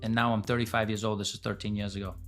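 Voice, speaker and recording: A man's voice: fairly low pitch, little pitch movement, rapid-fire, fairly bright tone, soft background music. A man in his forties telling his business story as a podcast guest, blunt and casual, swearing freely. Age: 40s. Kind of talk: candid storytelling interview.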